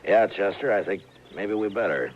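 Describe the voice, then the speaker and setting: A man playing a dry, deadpan, no-nonsense frontier marshal in an old-time radio western. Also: on the low side, moderate pace, lightly acted. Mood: thoughtful. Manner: deadpan, wry. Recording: muffled, noisy old radio recording.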